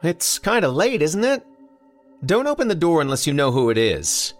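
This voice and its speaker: A man's voice: a little high, very animated, normal speed, soft background music, pitched above the performer's own voice. A man voicing an anxious woman calling from another room, raised and urgent. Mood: worried.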